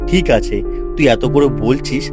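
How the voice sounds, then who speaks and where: A man's voice: fairly high pitch, animated, normal speed, lightly acted. A man voicing a friendly but reluctant village neighbour in a cartoon, explaining apologetically. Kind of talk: cartoon moral story dialogue. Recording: clear music behind the voice.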